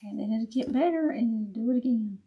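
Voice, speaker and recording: A woman with a raspy voice, chatting casually with viewers as she hosts a live craft tutorial. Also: a little high, brisk, narrow, tinny audio. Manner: chatty, laughs often, talks to viewers as y'all.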